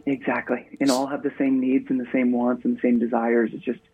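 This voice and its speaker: A man's voice: medium pitch, little pitch movement, a little fast, a little hiss or hum. A man from Maine, a guest speaker, chatting in an upbeat storytelling way over a remote line in a radio interview. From Maine, USA. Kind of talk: casual interview conversation. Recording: tinny phone line.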